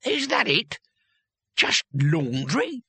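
A man voicing a skinny, stubborn old barbarian hero in an audiobook, with gruff, blunt speech. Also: fairly high pitch, expressive, moderate pace, bright, forceful tone, no room sound, heavily acted. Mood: enraged, baffled.

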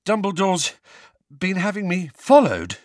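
A man's voice: high-pitched, huge pitch swings, moderate pace, no echo, clean studio sound, voice pushed up, heavily acted. A man voicing an anxious teenage boy in an audiobook, asking questions in a strained, breathless, urgent way.

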